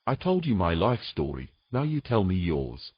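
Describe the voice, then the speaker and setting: A man playing a weary, gruff knight with dry, teasing humour in a romantic fantasy audio drama. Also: sits low, sing-song, normal speed, no echo, dull audio, full character voice. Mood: coaxing, teasing.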